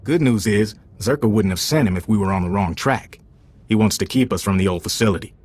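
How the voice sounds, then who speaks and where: A man's voice: fairly low pitch, a little fast, darkish tone, natural voice. A man playing a wry, easygoing field agent in a video game, talking informally. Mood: wry, businesslike. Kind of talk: video game dialogue acting.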